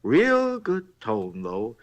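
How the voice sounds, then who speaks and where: An actor playing a chatty, folksy night clerk at a hotel, rambling in rustic grammar in a 1950s radio drama. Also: male voice, mid-range voice, huge pitch swings, extremely slow, heavily acted.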